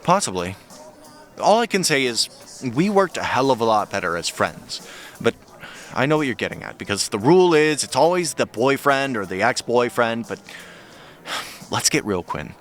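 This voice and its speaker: Animated, skeptical guy friend. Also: mid-range voice, moderate pace. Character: skeptical, candid male friend of the detective. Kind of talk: naturalistic audio drama acting.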